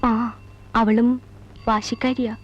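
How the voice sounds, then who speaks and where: A middle-aged actress playing a calm, reassuring aunty and family friend in a Malayalam film drama. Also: female voice, a little high, slow. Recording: dull old film soundtrack with music.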